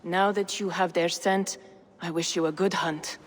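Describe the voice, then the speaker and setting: A woman portrays a shrewd merchant informant in a game cutscene. She is composed and formal, with a slightly low voice. Long reverb and faint noise surround it. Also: steady tone, moderate pace, close to an everyday voice. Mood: knowing, composed. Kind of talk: video game cutscene acting.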